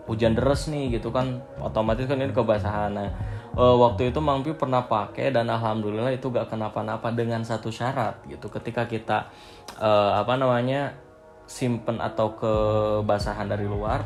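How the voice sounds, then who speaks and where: A man's voice: fairly low pitch, gravelly, darkish tone. A man giving a casual, off-the-cuff product review, hesitating often with filler phrases. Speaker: vlog host reviewing gear.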